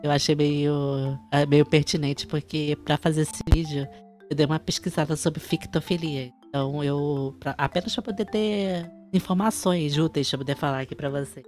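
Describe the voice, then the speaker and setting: Casual female host, Brazilian accent, low register, music underneath. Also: steady tone, moderate pace, darkish tone. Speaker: host of an online chat show. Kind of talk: casual chat.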